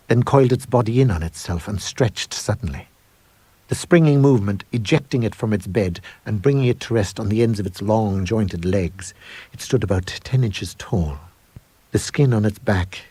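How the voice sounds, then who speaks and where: A middle-aged man narrating a supernatural tale as a scripted dramatic reading, measured and suspenseful. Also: fairly low pitch, dark tone, breathy edge, no room sound. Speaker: audiobook narrator.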